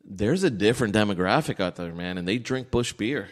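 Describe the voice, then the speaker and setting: A man's voice: lowish voice, lively intonation, brisk. An American man co-hosting a podcast, talking in a casual, opinionated and emphatic way. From Miami area, United States. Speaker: podcast co-host. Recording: echoey room with long reverb.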